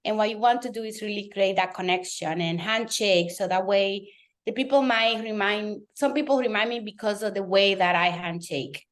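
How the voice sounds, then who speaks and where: A woman's voice: medium pitch, normal speed. A woman from the Dominican Republic, a native Spanish speaker with a Dominican accent, talking animatedly as a guest in a webinar conversation. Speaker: guest real estate team leader.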